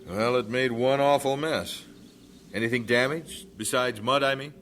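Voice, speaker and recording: An actor playing an earnest, plain-spoken missionary husband in an old-time radio drama. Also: male voice, medium pitch, normal speed, fairly bright tone, slight background noise, lightly acted. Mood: puzzled, alarmed.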